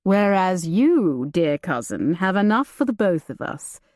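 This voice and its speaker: A reserved young gentleman who answers in a curt, dry way, as a woman reader puts him on. He stays guarded and plain. The sound is close and dry. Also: on the low side, very animated, unhurried, no echo.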